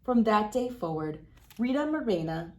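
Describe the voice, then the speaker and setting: A woman reading a children's story aloud as host, with dramatic, deliberate phrasing and clear emphasis. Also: mid-range voice, very animated. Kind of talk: expressive picture-book read-aloud.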